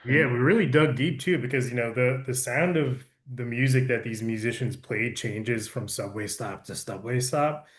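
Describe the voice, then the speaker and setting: A man's voice: mid-range voice, moderate pace, few pauses. A man, an audiobook producer, casually explaining his work in an interview. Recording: echoey, roomy sound.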